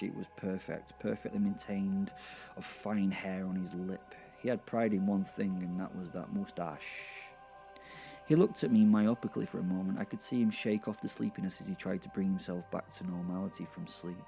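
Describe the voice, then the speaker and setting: A man's voice: low-pitched, creaky, dark tone. A man narrating a first-person comic horror story in a wry, conversational way, leaning on words for emphasis. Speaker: narrator of a serial audio drama.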